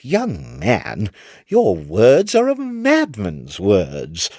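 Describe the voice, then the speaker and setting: A man voicing a dismissive, condescending navy Admiral in a storybook reading, with a measured delivery. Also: lowish voice, wildly swooping pitch, darkish tone, dry recording, clean studio sound, exaggerated voice. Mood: patronising disbelief. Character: dismissive, patronising male Admiral of King George's navy.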